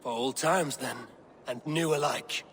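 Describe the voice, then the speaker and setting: An actor playing a calm, commanding Viking war leader in a video game, speaking in a measured, resolute way. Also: male voice, medium pitch, slight background noise, lightly acted. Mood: warm, resolute. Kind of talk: dramatic video game acting. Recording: faint background ambience.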